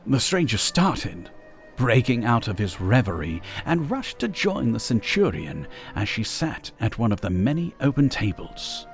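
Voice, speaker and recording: Male storyteller narrating fantasy, slow pace, lots of pitch movement, faint music under it. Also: big pitch swings. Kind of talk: scripted storybook narration of a fantasy audio drama.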